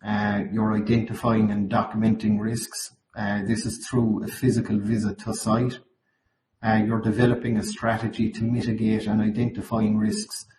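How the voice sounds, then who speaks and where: Irish male webinar presenter, slightly croaky, monotone, recorded in an echoey space with faint noise. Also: lowish voice, normal speed. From Ireland. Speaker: account manager presenting at a webinar. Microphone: video call. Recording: roomy, noisy webinar call audio.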